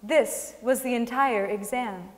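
A woman's voice: a little high, takes it slowly. A young woman giving a dramatic reading from a script on stage. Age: young adult. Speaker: reader on stage. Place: large wooden concert hall stage. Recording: reverberant concert hall.

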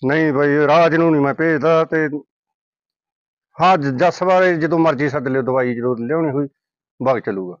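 A man's voice: a little high, normal speed, no room sound, muffled recording, close to an everyday voice. A man acting a plain-spoken villager who helps his relatives, with a Punjabi accent, in a conversational family drama. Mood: reluctant.

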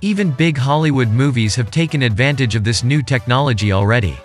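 A man's voice: medium pitch, animated, a little fast, faint music behind. A man from Germany presenting a video as scripted narration.

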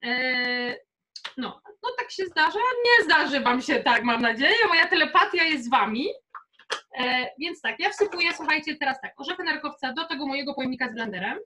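A young woman speaks in a very high, bright voice. She is informal and chatty as she instructs a live cooking class from her home kitchen, encouraging people along as she goes. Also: animated, moderate pace. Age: young adult. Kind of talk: casual live cooking workshop.

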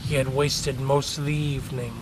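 A man's voice: medium pitch, flat delivery, normal speed, noisy background. A man reading a scripted horror story as its narrator.

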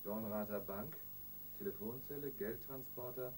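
Male actor as junior detective, radio crime drama: medium pitch, matter-of-fact, set back from microphone. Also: moderate pace, warm tone, natural voice. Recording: distant from mic, faint noise.

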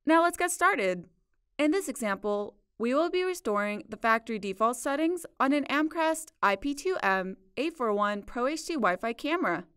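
A woman narrating a scripted software tutorial in a measured, instructional way. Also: high-pitched, expressive, no echo, studio quality. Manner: clear, measured instructional delivery. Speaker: support video narrator.

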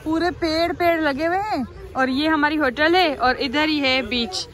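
A woman's voice: high-pitched, normal speed, a bit bright. A young woman chatting expressively to camera in a casual travel vlog style, recorded on a phone at arm's length. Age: young adult. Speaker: vlogger, host. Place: busy restaurant, street and hotel grounds. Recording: noisy outdoor and restaurant crowd background.

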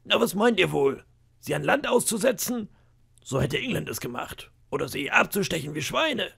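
A man's voice: sits high, sing-song, moderate pace, creaky, pushed and bright, husky, pitched above the performer's own voice, exaggerated voice. A man voicing a cunning old pirate cook in an audiobook, wheedling and boastful with flashes of menace. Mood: scheming. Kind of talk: audiobook character voice.

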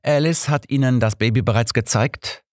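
A man's voice: mid-range voice, normal speed, a little mellow, dry recording, studio quality, voice pushed up, lightly acted. A man voicing a courteous, sympathetic village doctor in an audiobook, polite and explanatory. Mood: earnest. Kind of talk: audiobook character dialogue.

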